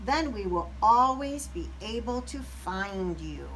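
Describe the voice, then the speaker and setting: A woman voicing a kindly, caring mother rabbit in a children's storybook, gently instructive. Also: mid-range voice, lively intonation, takes it slowly, recorded from a distance, slight background noise. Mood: happy, instructive. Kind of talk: children's storybook read-aloud character.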